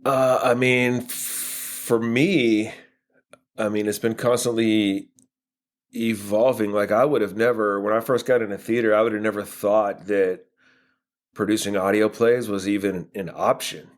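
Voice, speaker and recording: An American man answering interview questions casually, in a halting way as he thinks aloud. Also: on the low side, fairly even intonation. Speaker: guest playwright and filmmaker.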